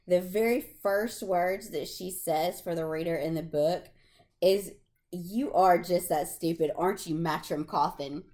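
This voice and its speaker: Low-voiced woman chatting about books. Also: measured pace, mellow. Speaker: podcast co-host. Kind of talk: casual book discussion.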